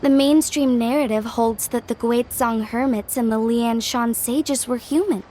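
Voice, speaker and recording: Woman playing a high-voiced, scholarly young lady. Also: dark tone, a little hiss or hum, natural voice. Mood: informative. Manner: polite, measured, articulate. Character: polite, scholarly young woman versed in legends. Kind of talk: video game voice acting, storytelling. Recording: faint music behind. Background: game music.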